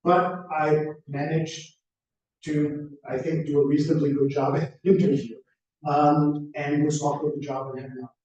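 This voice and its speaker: A middle-aged American man delivers a prepared plenary talk in a measured, orderly way, often building lists. His voice is mellow and slightly high for a man. He sits back from the microphone in a very echoey room. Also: little pitch movement, recorded from a distance, dull audio. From United States. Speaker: plenary speaker.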